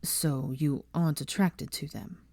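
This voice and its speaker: Woman as guarded young man, low and subdued. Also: vocal fry, darkish tone, dry recording, full character voice. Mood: tentative. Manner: careful, subdued, halting. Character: guarded, insecure young man, careful with words. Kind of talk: dramatised audiobook character dialogue.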